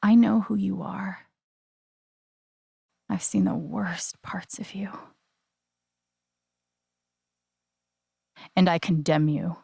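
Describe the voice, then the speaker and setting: A woman playing an earnest, wounded young woman in a naturalistic video game drama, speaking breathily with slow, deliberate, emotionally weighted phrasing. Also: on the low side, vocal fry, dark tone, breathy edge, no echo, studio quality, exaggerated voice. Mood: cold, condemning. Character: earnest, wounded young woman confronting betrayal with quiet conviction.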